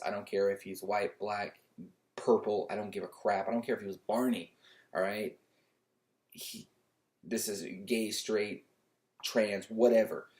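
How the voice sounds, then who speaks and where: A man with a loud, low voice vents his indignation straight to the camera at home. He keeps his pitch level and flat, circles back over the same points and restarts his sentences as he talks. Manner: indignant, repetitive, restarts often. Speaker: YouTuber. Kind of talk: casual opinion rant to camera.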